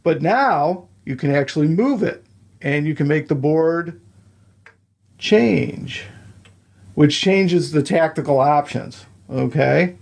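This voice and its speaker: A man's voice: fairly high pitch, lively intonation, slow pace, vocal fry, darkish tone. A man explaining the rules of a board game step by step, counting moves aloud and checking in with the listener.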